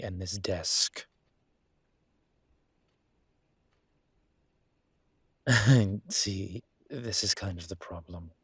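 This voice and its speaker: A man playing a flirtatious, wry immortal demon professor in an intimate, conversational audio roleplay, with a low husky voice and a teasing, playful manner. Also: dry recording. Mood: wry, reflective. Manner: teasing, playful, breathy asides.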